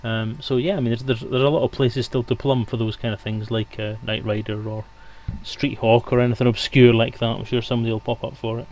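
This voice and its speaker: A man's voice: medium pitch, flat delivery, brisk, hardly any pauses, a little mellow. A man speaking casually as a guest in a livestream discussion. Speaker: stream guest. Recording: clear background noise on mic.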